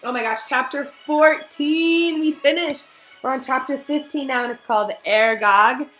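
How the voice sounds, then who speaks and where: A woman's voice: high-pitched, moderate pace, a bit bright, soft background music. A woman teacher reading a novel aloud to her students, chatty and enthusiastic. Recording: echoey room.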